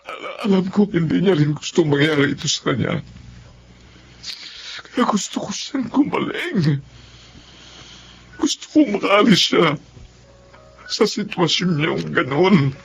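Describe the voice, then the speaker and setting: A man acting a hurt but devoted husband in a Filipino audio drama, earnest and halting as he speaks. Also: fairly high pitch, animated, gravelly, slight background noise. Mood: anguished, resolute. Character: troubled husband, hurt yet devoted and resolute. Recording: dull lo-fi sound, faint music behind.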